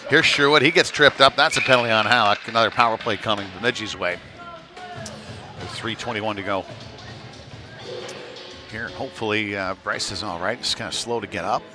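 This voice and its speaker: A man giving halting, conversational live play-by-play of a youth hockey game in a folksy manner. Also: fairly low pitch, over music. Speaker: play-by-play commentator. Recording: noisy live rink stream.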